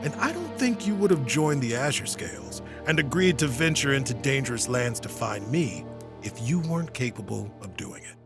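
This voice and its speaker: A man playing a weary, middle-aged retired hero in a fantasy audio drama, earnest and solemn in his measured speeches. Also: medium pitch, sing-song, over music. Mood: solemn, encouraging.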